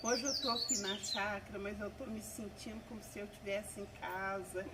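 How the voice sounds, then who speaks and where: Woman, 70s, earnest church testimony, mid-pitched, distant mic, noisy outdoor birdsong. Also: steady tone, moderate pace. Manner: earnest, repeats "né?" and praises God often. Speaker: guest giving testimony. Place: country property.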